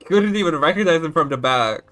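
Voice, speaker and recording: A male streamer giving casual, excitable reaction commentary on a game story. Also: fairly high pitch, fairly quick, faint music behind. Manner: excitable, chatty.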